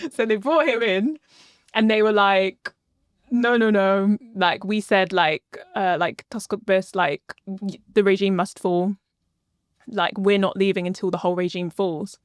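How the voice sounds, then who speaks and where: Young UK woman, interview guest, chatty storyteller, middle pitch, dry close mic. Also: no room sound. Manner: animated storyteller, many fillers like "like" and "um". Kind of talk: casual conversational interview.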